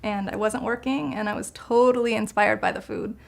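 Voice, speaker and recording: A woman's voice: on the high side, steady tone, fairly quick, gravelly. A woman food blogger answering interview questions in a casual, conversational way.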